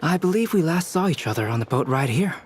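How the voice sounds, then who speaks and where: A man playing a calm, courteous, reserved scientist in a video game. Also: on the high side, fast talker, slight background noise, close to an everyday voice. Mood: composed. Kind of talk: scripted video game dialogue.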